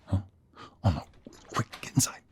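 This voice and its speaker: A man playing a protective, tender mobster in a hushed, intimate and urgent ASMR romance roleplay, with a breathy, gravelly voice. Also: moderate pace, slight background noise, close to an everyday voice. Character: protective, tender mobster rescuing his lover.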